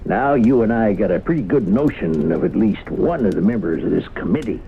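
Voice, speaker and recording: Folksy sheriff on tinny old radio. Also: male voice, fairly low pitch, lively intonation, a little fast, creaky, slight background noise. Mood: exasperated. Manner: folksy, blustery, hesitating. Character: folksy, exasperated small-town sheriff. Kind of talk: radio drama acting.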